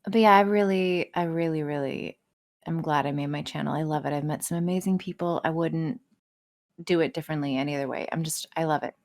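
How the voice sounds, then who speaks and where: A woman's voice: low-pitched, fairly quick. A woman chatting casually as a podcast guest, playful, sweary and self-mocking. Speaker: podcast guest, audio roleplay creator. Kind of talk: casual chat.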